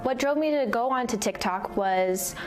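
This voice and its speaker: A woman's voice: on the high side, moderate pace, soft background music. A young woman talking candidly and casually about her own life, as an interviewee in a news feature. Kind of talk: personal testimony.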